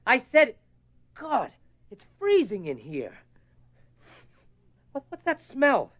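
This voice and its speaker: A man's voice: very high-pitched, wildly swooping pitch, slow, heavily acted. A man playing an exasperated, short-tempered husband in a radio horror play, blunt and argumentative. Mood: exasperated, angry. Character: exasperated, short-tempered husband, worried about his obsessed wife. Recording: dull lo-fi radio recording.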